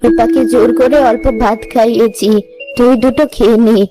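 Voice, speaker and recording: A woman's voice: fairly high pitch, fairly even intonation, normal speed, dark tone, lightly acted, low-quality recording. A woman voicing a tender, motherly village woman in a moral-story cartoon. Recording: music under the voice.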